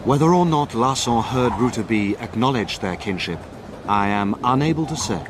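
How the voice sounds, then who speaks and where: A man acts as a detective's loyal friend recounting an adventure in a radio drama. He is grave and measured yet widely varied in pitch, over clearly noisy ambience. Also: lively intonation, close to an everyday voice. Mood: grave, dramatic. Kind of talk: scripted first-person drama narration. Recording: clear background noise, rumbling ambience.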